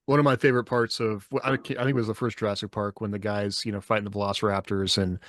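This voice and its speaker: Low, dry-sounding man hosting casually. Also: little pitch movement, fast, a bit bright, no room sound. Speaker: podcast host. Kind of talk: casual discussion.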